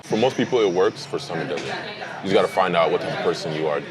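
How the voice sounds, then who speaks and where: A man's voice: low-pitched, fast. A young man from Nigeria with a Nigerian accent, chatting casually and laughing easily on a blind date game. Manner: relaxed, laughs easily, repeats phrases. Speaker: dating game player. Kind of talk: casual conversation. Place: outdoor city restaurant. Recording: music and crowd behind. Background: music and chatter.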